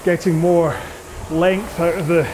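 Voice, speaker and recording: A man's voice: fairly high pitch, steady tone, measured pace, background noise. A man coaching a rowing workout in a casual, rambling, jokey and conversational way. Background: music.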